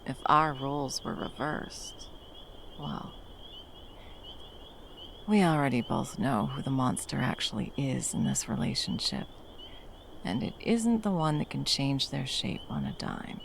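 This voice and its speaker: Casting: actress as battle-worn female monster hunter, tender and teasing, very expressive pitch, fry-edged, intimate ASMR roleplay, faint background noise. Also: heavily acted. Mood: tender, wry. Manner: soft teasing, slow, breathy drops into whispers. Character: battle-worn woman monster hunter, gruff but tenderly protective lover.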